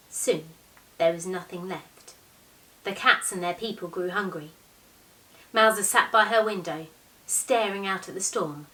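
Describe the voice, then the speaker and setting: A woman in her thirties reads a children's storybook aloud in an expressive way, with deliberate, measured phrasing. Her voice sits at a medium pitch and is picked up from some distance away in a living room. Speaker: teacher reading a picture book to pupils. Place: small living room, indoors, on a sofa. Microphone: camera a few metres away.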